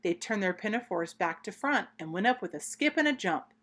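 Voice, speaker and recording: A woman reading a children's story aloud, expressive, deliberate and emphatic. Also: mid-range voice.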